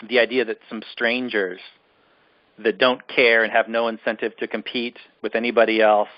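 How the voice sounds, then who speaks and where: A man's voice: lowish voice, level tone, normal speed, no room sound, highs cut off. A man co-hosting a casual film discussion, ranting in an exasperated, emphatic way and repeating himself for emphasis. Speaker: podcast co-host reviewing films.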